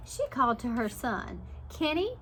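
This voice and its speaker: A woman's voice: on the high side, big pitch swings, a little slow, slight background noise. A woman preschool teacher reading a picture book aloud and stopping to explain it simply for young children. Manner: simple explanatory asides, dramatized story reading.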